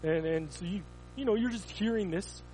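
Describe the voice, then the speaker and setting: A man with a notably high voice delivers a sermon built around simple stories. He talks in an informal, storytelling way, often tripping over his words and restarting a phrase. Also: normal speed, mellow, a little hiss or hum, low-quality recording. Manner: storytelling, with frequent stumbling restarts. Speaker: preacher.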